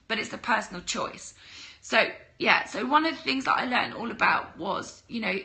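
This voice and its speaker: A young woman with a cold and a croaky voice, chatting on a livestream and giving blunt mindset advice. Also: on the high side, sharp tone, low-quality recording. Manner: chatty, greets viewers, blunt tough-love advice. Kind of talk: casual livestream talk to viewers.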